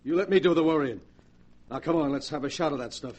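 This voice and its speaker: A man's voice: a little high, quick pace, a little mellow, breathy edge. A man acting a ruthless, cocky gangster in 1940s radio drama, talking tough in menacing racketeer slang. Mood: menacing, pushy. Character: ruthless, cocky gangster who believes himself invincible.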